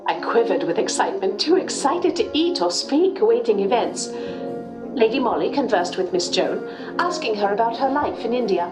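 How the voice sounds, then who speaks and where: A woman playing an eager, devoted assistant in a period radio mystery, speaking in an earnest, proper and excitable way. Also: on the high side, normal speed, over music, close to an everyday voice, poor audio. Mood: earnest storytelling. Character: eager, devoted young female assistant telling her own tale. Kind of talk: dramatic first-person narration and period radio acting.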